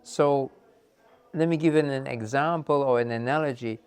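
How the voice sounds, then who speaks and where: A man giving a measured, earnest talk as a counselor, answering questions with many fillers and pauses. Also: medium pitch, a little mellow, clean tone, no room sound, faint music behind.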